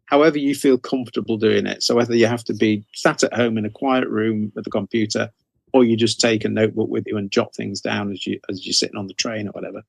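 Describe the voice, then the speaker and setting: A man's voice: fairly low pitch, fairly even intonation, fast talker, creaky, dry recording. A middle-aged man giving conversational interview answers as a guest author, rambling a little as he repeats and rephrases.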